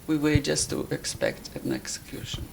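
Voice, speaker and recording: An elderly woman from Poland with a Polish accent, recalling her life in a halting, deliberate way as she is interviewed. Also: low-pitched, sing-song, creaky, slight background noise. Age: 80s or older. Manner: halting, deliberate, searching for words. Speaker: interviewee, life-story witness. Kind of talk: personal reminiscence in an interview.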